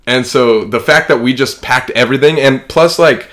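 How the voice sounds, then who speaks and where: A man's voice: mid-range voice, normal speed, fairly bright tone. A man in his early twenties with an American accent, talking casually and reflectively in a rambling, informal way as a podcast co-host. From Kansas, United States. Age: early 20s. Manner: rambling, informal, heavy use of "like".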